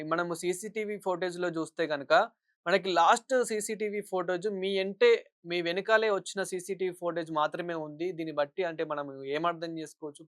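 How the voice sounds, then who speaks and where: A man's voice: a little high, a bit bright, clear, resonant tone, dry recording, clean studio sound. A man working as a news interviewer, putting long, wordy questions in a phone interview.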